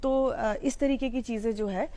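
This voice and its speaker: Mellow-voiced Indian woman explaining. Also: on the high side, moderate pace. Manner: explanatory, code-mixing Hindi with English terms. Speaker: psychology educator. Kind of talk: informal explanatory talk on mental health.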